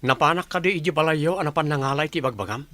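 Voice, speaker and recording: A man's voice: on the high side, quick pace, a bit bright, dry recording, lightly acted. An actor playing a stubborn young businessman in a radio drama, blunt and dismissive in manner. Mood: irritated, evasive.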